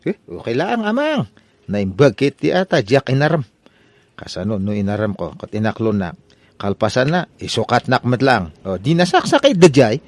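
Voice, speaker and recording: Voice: medium pitch, sing-song, moderate pace, a little mellow, no echo. A performer with an Ilocano accent playing a whiny, hapless, lovestruck younger son, stammering and pleading in a comedy radio drama. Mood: pleading, defensive.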